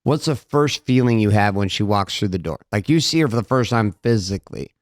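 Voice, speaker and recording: A playful male podcast host who jumps in with excitable jokes and questions. His voice is recorded dry and close, with no room sound. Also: lowish voice, brisk, no echo. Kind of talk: casual interview chat.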